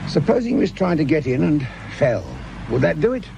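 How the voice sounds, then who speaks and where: An actor playing a wry, middle-aged English police sergeant with a gravelly voice, grumbling and dry-humoured in a radio drama. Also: male voice, fairly high pitch, big pitch swings, fairly quick, lo-fi sound, noisy background. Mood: dry, deliberate.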